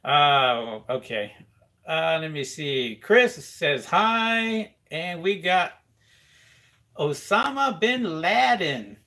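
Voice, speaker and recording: A man's voice: a little high, sing-song, very slow, sharp tone. A man hosting a live question-and-answer stream, chatting casually with many fillers and reading viewer comments aloud.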